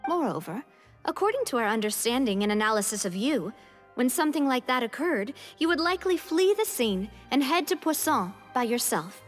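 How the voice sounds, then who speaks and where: A woman's voice: on the high side, very animated, fairly quick. An actress playing a confident, frank young woman leader in a video game, explaining in an orderly, assured way. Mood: assured, explanatory. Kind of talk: video game character acting. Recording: music behind the voice.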